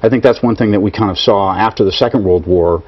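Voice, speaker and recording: A man's voice: on the low side, fast, breathy edge. A man who is a military officer, speaking earnestly as a guest on a TV interview. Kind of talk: TV studio interview. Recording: dull broadcast audio.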